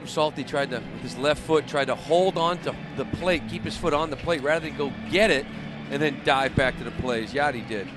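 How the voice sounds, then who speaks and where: A man's voice: a little high, fairly quick, music in the background. A man giving conversational analysis as color commentator during a live baseball broadcast from a ballpark booth. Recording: faint background noise of ballpark. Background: stadium ambience.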